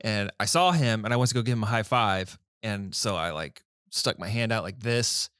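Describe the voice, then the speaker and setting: A man's voice: fairly low pitch, normal speed, fairly bright tone, smooth, no echo, studio quality. A man in his thirties hosting a casual church podcast, playful and jokey, laughing often. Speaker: podcast host.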